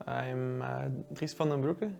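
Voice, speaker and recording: A man's voice: medium pitch, steady tone, takes it slowly, vocal fry, darkish tone, soft background music. A man from Belgium, a ski racer speaking casually in a documentary interview and often reaching for words. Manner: casual, filler-laden, searching for words.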